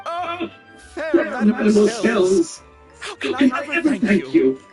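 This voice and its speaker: A man's voice: very high voice, big pitch swings, slow pace, music in the background, exaggerated voice. A man playing an earnest, courteous and passionate young filmmaker in a video game, with florid, theatrical phrasing. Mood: grateful.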